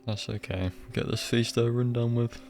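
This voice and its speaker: A man casually commentating on a live game stream in a low-key, musing way, sometimes reading dialogue aloud. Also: fairly even intonation, normal speed. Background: soft game music.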